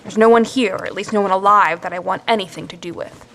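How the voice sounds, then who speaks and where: An actress playing a tough, pragmatic survivor in an audio drama, speaking bluntly and firmly in clipped phrases. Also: female voice, mid-range voice, steady tone, fast, fairly bright tone, a little hiss or hum, natural voice. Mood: grim, firm.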